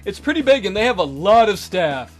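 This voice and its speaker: A man puts on a high-pitched, defensive nightclub bro for a visual novel. The voice is jittery and indignant, hesitating and then pushing words out with heavy emphasis. It swings widely in pitch, with music behind it. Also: very animated, brisk. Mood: casual, defensive. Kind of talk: voicing visual novel character dialogue.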